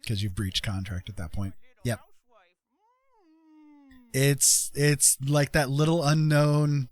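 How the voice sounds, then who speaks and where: A man's voice: medium pitch, steady tone, takes it slowly. A man chatting casually on a livestream, explaining things conversationally and often checking in with the listener. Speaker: streamer and self-published author.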